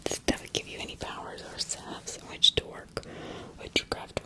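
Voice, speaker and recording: A woman's voice: normal speed, close to an everyday voice. A woman playing a stern magistrate who questions accused witches insistently in a whispered ASMR roleplay. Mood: accusing. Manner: interrogating, insistent, repeating questions.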